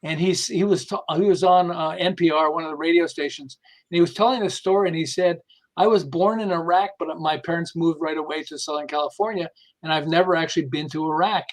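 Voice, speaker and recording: A man talking conversationally as a podcast guest, explaining through anecdotes in an animated, stop-and-start way. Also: fairly high pitch, fairly quick, rough recording. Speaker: podcast guest, behavioral management specialist.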